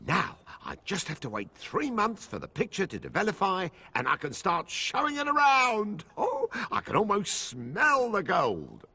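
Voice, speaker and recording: A man playing an excitable, greedy, scatty huckster with a gushing, theatrical manner in a video game. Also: high-pitched, normal speed, few pauses, husky, exaggerated voice. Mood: gleeful. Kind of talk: video game cutscene dialogue.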